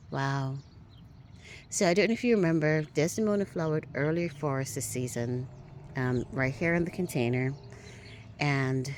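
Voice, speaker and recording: A woman giving a casual walking tour of her garden outdoors, chatty and upbeat, a little hoarse, often asking "right?" as she films on a handheld phone. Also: fairly low pitch, darkish tone, a little hiss or hum. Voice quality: a little hoarse, clears throat. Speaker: garden vlogger.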